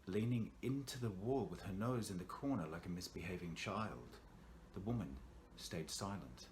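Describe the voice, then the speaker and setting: A man with a low voice narrates a horror tale in a dramatic, suspenseful style. He places theatrical pauses between phrases. Some ambient noise sits behind the recording. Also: expressive. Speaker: actor reading a short story. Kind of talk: dramatic scripted horror storytelling.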